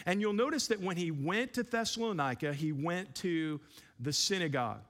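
A man who is a pastor, giving an evening church teaching with a relaxed, self-deprecating manner, many fillers. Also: on the high side. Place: church sanctuary. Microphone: handheld microphone.

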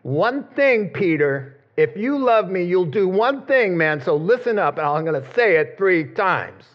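Joking pastor preaching on a dull recording. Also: male voice, high-pitched, very animated, unhurried. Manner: conversational, joking, acts out quoted voices. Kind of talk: sermon.

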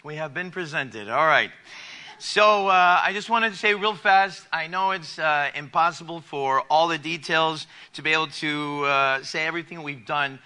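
A man in his forties, a missionary giving a church testimony over slides, halting with many fillers and restarts. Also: fairly high pitch, pushed and bright. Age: 40s.

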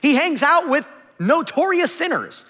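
A man in his forties preaching a conversational sermon, animated and self-deprecating. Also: very high-pitched, a little slow. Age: 40s. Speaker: pastor. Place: large church hall. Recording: long hall reverb.

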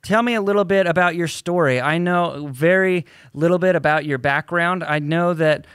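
A man's voice: fairly high pitch, moderate pace, fairly bright tone, smooth, no room sound, studio quality. A man co-hosting a podcast, chatting casually and laughing often as he tells rambling stories. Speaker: podcast co-host.

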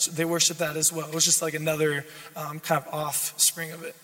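A hoarse young man preaching a sermon as a guest speaker, repeating his key lines and building in intensity. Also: high-pitched, fairly bright tone. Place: church sanctuary. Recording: long church reverb.

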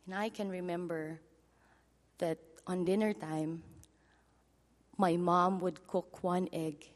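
Woman, Filipino accent, earnest testimony, slightly low voice, long hall reverb. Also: a little mellow. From Philippines. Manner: earnest, measured storytelling. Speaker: guest speaker. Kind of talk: church testimony. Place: church sanctuary. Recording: echoey church hall.

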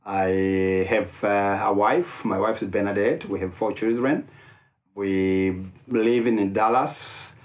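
A middle-aged man with a Rwandan accent, telling his personal story as an interview guest in deliberate, halting short phrases. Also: lowish voice, steady tone. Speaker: interview guest, ministry leader.

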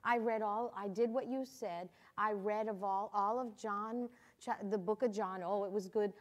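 A woman's voice: medium pitch, fairly even intonation, normal speed. Woman, church host, encouraging, laughs easily, conversational. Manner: warm, laughs often, encouraging. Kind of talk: casual church testimony chat.

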